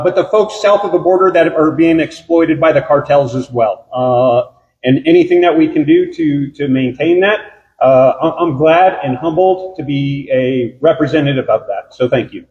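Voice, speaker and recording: A man who is a law officer speaking at his own honoring ceremony, loud-voiced, halting and earnest. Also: fairly high pitch, highs cut off. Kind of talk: ceremony speech.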